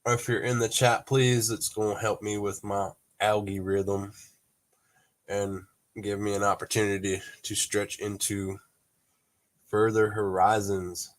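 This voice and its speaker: A man's voice: on the low side, flat delivery, measured pace. A man hosting a casual toy-collecting livestream, talking in a rambling, laid-back way with frequent laughs and some cursing, a little congested from allergies. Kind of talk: casual livestream chat with viewers.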